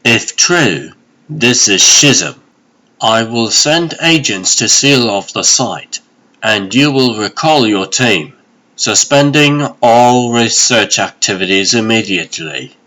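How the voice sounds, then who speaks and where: A man's voice: on the low side, lively intonation, slow, full character voice, rough recording. A man playing a stern, weary sorcerer sheriff in a comic dub, speaking in a grave, formal manner. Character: stern, weary male sorcerer sheriff who lays down the law. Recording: loud dramatic music behind.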